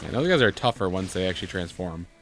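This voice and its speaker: Man, lowish pitch, congested with a cold, sarcastic, casual gaming commentary, faint noise behind. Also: expressive, fast talker. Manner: dry, sarcastic. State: has a bad cold, coughing. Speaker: gaming video co-host. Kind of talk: casual joking game commentary. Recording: noisy room, game sounds behind.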